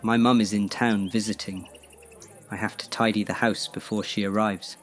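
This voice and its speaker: A measured, well-mannered young Briton in his twenties, played by a man in an audio drama. His voice sits low and his delivery is dry and proper. Faint music plays underneath. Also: fairly even intonation, natural voice. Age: 20s.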